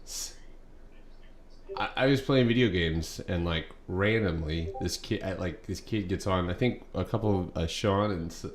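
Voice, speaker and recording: A man's voice: deep voice, normal speed. A young man hosting a live stock trading stream, talking casually and rambling with many ums and uhs. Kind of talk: live market commentary. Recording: echoey room, faint background noise.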